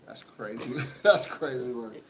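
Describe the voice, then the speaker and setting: A man's voice: mid-range voice, lively intonation, a little slow, a little hiss or hum. A young man hosting a casual chat, talking loosely and profanely with lots of rambling. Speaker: podcast host.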